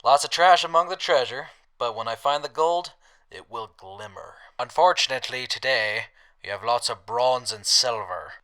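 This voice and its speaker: A man's voice: mid-range voice, normal speed, sharp tone, lightly acted. A man playing a grumpy aging shopkeeper in a post-apocalyptic radio drama, sardonic and curt. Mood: dismissive. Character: gruff, grumpy aging male wasteland shopkeeper.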